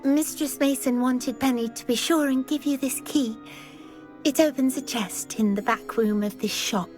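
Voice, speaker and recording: A woman's voice: high-pitched, normal speed, music in the background, close to an everyday voice. A woman voicing a small, servile house-elf in a video game, meek, earnest and dutiful. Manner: meek, earnest, speaks of herself in third person. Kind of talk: video game character acting.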